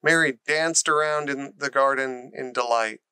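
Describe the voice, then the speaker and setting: A man's voice: mid-range voice, fairly even intonation, moderate pace, sharp tone, clean tone, dry recording. A man reading a children's story aloud as scripted narration. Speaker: storybook reader.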